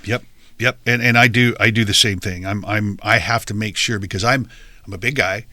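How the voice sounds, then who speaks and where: Loud, forceful man hosting a podcast. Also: on the low side, steady tone, moderate pace, no room sound. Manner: passionate, stammering restarts. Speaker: podcast host. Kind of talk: casual podcast conversation.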